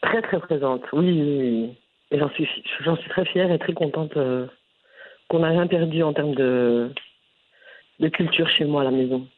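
A woman from Brussels with a Brussels French accent, answering interview questions in an animated, frank way with frequent restarts. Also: low voice, a little slow, no echo. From Brussels, Belgium. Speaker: content creator guest. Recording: phone-line audio.

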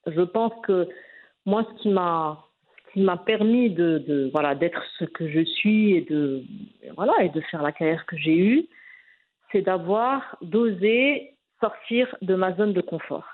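A woman's voice: fairly low pitch, unhurried. A woman in her forties giving an interview, talking in a hesitant way with many fillers and restarts. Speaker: guest. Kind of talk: conversational interview. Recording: phone-like narrow band.

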